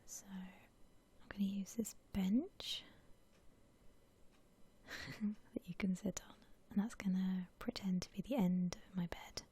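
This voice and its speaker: Slow, breathy whispering woman. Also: mid-range voice, steady tone. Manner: gentle, unhurried, musing to herself. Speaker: gaming ASMR host. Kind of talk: whispered ASMR game commentary.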